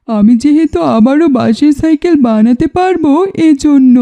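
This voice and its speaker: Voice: high-pitched, mellow, close to an everyday voice. A voice actor playing an earnest young village schoolboy in a cartoon, explaining things plainly. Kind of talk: cartoon voice acting.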